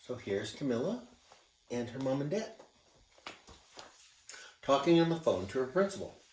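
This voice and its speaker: A man, a teacher, reading a children's picture book aloud expressively and pausing to show the pictures. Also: medium pitch, a little hiss or hum. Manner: expressive, showing pictures as he reads. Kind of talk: children's read-aloud narration. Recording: roomy, distant mic.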